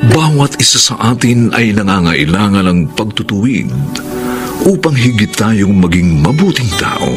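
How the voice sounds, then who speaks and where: A man narrating a radio drama in a solemn, deliberate, theatrical way. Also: fairly low pitch, sing-song. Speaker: narrator, director reading credits. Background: loud dramatic music.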